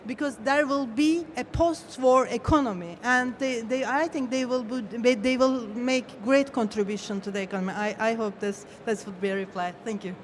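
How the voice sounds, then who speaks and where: Higher-voiced non-native English woman, halting. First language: not English. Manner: halting, repeats phrase starts. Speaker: panelist. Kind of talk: spontaneous panel answer. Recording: faint live event noise.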